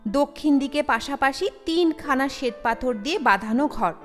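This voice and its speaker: A woman reading a short story aloud as a scripted audio narration. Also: high-pitched. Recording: long reverb over the voice. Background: background music.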